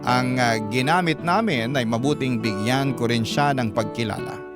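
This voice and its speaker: A man's voice: medium pitch, moderate pace. Man narrating over guitar music. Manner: earnest storytelling, first-person letter reading. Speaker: narrator reading a listener's letter. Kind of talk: scripted radio drama narration.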